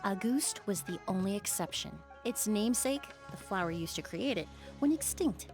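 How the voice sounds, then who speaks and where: A woman playing a composed, refined young perfumer in a video game, speaking in a measured, polite, articulate way. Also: medium pitch, lively intonation, natural voice. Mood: calm, explanatory. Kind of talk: video game voice acting, explanatory dialogue. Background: music.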